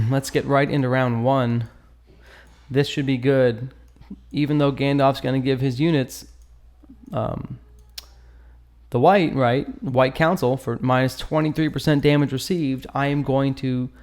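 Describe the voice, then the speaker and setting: Guy doing casual game commentary, normal pitch, slangy and jokey, breaking down battle stuff for his channel. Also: a little mellow. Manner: informal, jokey, slangy asides. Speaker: host of a gaming channel. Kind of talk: casual gameplay commentary, analysing a battle report.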